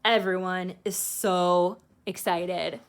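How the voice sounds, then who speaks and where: A woman's voice: lowish voice, fairly even intonation, slow pace, smooth. A woman reading a picture book aloud like a teacher, expressively, with casual asides explaining feelings to young listeners.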